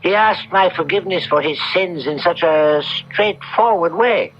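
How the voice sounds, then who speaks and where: Slow, paternal old-world marquis. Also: male voice, sits high. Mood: astonished, confiding. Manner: formal, deliberate, paternal. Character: credulous, kindly Italian marquis, future father-in-law. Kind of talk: 1970s radio drama acting. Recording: dull 1970s radio recording, faint music.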